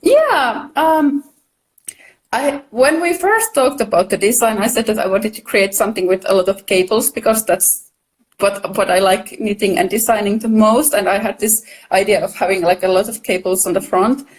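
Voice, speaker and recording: A woman from Finland, a native Finnish speaker, talking casually as a knitwear designer guest in a live video chat, soft-spoken and friendly. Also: medium pitch, normal speed, low-quality recording.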